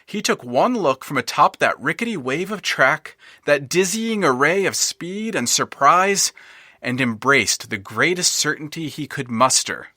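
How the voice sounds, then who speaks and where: Man narrating an audio drama, lively pitch. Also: dry recording, clean studio sound. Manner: measured, wry storytelling with swells of tension.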